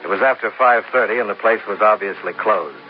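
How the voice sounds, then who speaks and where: An actor playing a hard-boiled insurance investigator who narrates a 1950s radio drama in a dry, clipped way. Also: male voice, on the low side, a little fast, faint music behind, natural voice. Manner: dry, clipped, matter-of-fact. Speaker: narrator and lead. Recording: hissy, dull old radio broadcast.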